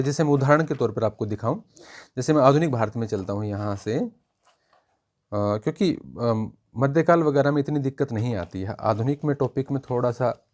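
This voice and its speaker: A man's voice: mid-range voice, darkish tone. A man giving an informal educational review, explaining point by point and often repeating himself. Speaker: exam-prep book reviewer.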